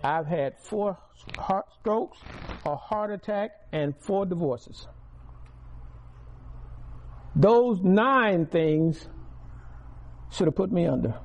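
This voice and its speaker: A man's voice: high voice, a little mellow, dull audio, a little hiss or hum. A man, giving a preaching testimony to a congregation, repeating key words and building volume on stressed phrases. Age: 60s.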